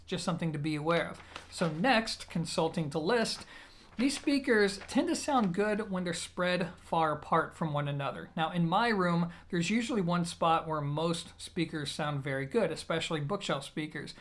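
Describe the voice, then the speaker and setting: A man's voice: a little high, normal speed, a bit bright. A man, hosting a review in a casual, methodical, conversational way. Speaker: audio gear reviewer, host. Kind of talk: casual, explanatory product review to camera. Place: small plain-walled room indoors.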